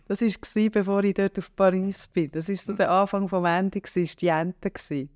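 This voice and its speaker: A man's voice: high voice, fairly even intonation, moderate pace, a little mellow, no echo, studio quality. Teasing Swiss German man in his forties. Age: 40s. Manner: teasing, laughs often. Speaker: podcast host. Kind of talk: casual chat.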